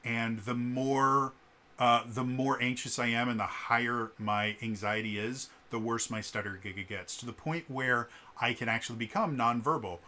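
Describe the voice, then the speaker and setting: A man with a stutter giving casual spoken commentary as a vlogger, halting with many fillers and repeated sounds. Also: medium pitch, fairly even intonation, bright, forceful tone.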